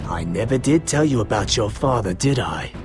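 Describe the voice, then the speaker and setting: A sadistic, swooping taunter. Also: male voice, lowish voice, lively intonation, normal speed, gravelly, over music. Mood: gloating. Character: sadistic, taunting male killer. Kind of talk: dramatic game voice acting.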